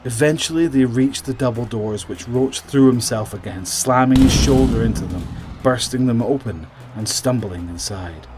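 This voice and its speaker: A man narrating a scripted horror story in a measured, suspenseful way, trailing off at the ends of phrases. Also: medium pitch, noisy background.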